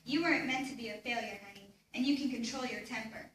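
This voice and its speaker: A woman's voice: fairly high pitch, fast, fairly bright tone, close to an everyday voice. Woman playing a firm mother, very echoey room. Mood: urging, encouraging. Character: firm, encouraging mother urging her struggling son. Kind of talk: readers theater stage performance. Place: echoey room, recorded from a distance. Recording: very echoey room, distant mic, faint noise.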